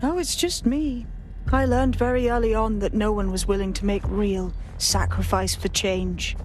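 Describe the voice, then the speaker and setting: A woman's voice: mid-range voice, steady tone, moderate pace, slight background noise, close to an everyday voice. A woman playing a cold, self-assured terrorist mastermind in a game, composed and contemptuous. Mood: proud, composed.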